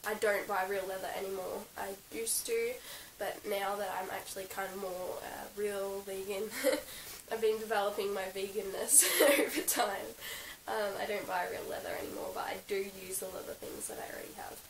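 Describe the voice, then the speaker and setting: A young Australian woman, croaky from being sick, chatting casually in a vlog about her favourite horse gear, giggling often and filling her talk with ums and likes. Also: medium pitch, steady tone, moderate pace, hardly any pauses. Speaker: equestrian vlogger. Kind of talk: casual show-and-tell vlog. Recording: roomy, slightly distant with hiss.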